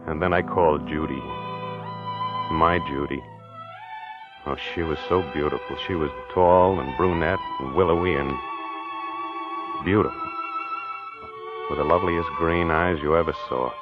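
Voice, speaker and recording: An American actor playing a jealous, obsessive wife-killer in a confessional, insistent 1940s radio mystery drama. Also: male voice, a bass voice, a little slow, pauses often, music in the background. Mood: calm, calculating. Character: jealous, obsessive man who murdered his wife, narrating his crime. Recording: dull vintage 1940s radio recording.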